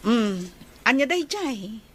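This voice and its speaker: A woman's voice: a little high, big pitch swings, takes it slowly, a little hiss or hum. A woman playing a friendly, easygoing older sister figure in an Ilocano radio drama.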